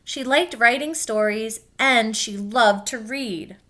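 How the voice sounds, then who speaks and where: A woman teacher reading a children's book aloud and pausing to explain it in simple words for young pupils. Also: fairly high pitch, sharp tone.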